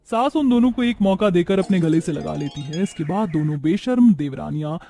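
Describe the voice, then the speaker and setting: Very high-pitched male story narrator. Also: lively intonation, a little fast, gravelly, dark tone, faint music behind. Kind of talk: scripted narration of a family drama story.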